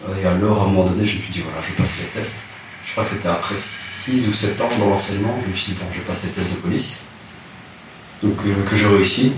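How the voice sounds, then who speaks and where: A man, telling his life story in a relaxed interview, hesitant and soft-spoken with many restarts. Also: low voice, quick pace. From Rwanda. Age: 30s. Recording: echoey room, distant mic, noisy.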